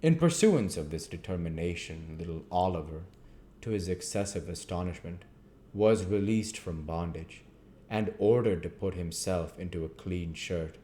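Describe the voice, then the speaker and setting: Deep, mellow male story narrator. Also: moderate pace. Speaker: audiobook reader.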